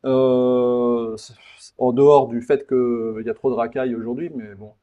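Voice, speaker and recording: A man's voice: mid-range voice, little pitch movement, normal speed, highs cut off. A man from Paris, hosting a casual interview in an informal, blunt, digressive way and often repeating words. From Paris, France. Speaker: podcast host, interviewer.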